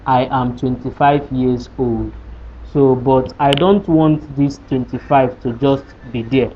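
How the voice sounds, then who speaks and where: A man's voice: medium pitch, fairly even intonation, slow. A man teaching a programming lesson, explaining code step by step and asking rhetorical questions as he goes. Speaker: programming tutor. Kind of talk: screen-recorded coding lesson. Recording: dull lo-fi sound with faint hiss.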